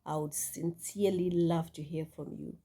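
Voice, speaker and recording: A woman's voice: deep voice, steady tone, moderate pace, dark tone. A Zimbabwean woman vlogger speaking reflectively to her viewers, with many fillers and restarts. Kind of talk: casual talk to camera.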